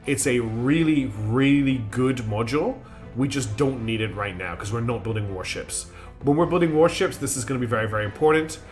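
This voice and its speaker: A man's voice: medium pitch, fairly quick, soft background music. A man streaming a strategy game live. He thinks aloud in a chatty way, with many ums and restarts, and his nose is slightly blocked.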